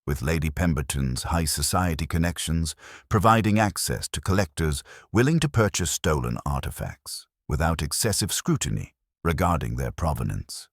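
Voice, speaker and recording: A man reading a scripted mystery story as an audiobook narrator, in a formal, measured, dramatic storytelling manner. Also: low-pitched, no room sound, clean studio sound.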